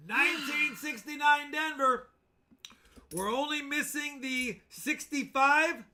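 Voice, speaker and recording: Brief: fifty-year-old male streamer, high bright voice, chatty and excitable, talks to chat by name. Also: slow. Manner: excited, chatty, keeps talking to viewers by name. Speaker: coin-hunting live streamer. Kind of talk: casual live-stream chat while searching coin rolls.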